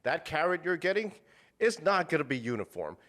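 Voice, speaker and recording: A man's voice: fairly high pitch, big pitch swings, brisk, fairly bright tone. A man talking casually as an interview guest, rambling with many fillers and restarts and cursing bluntly. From United States. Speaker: guest, meat purveyor.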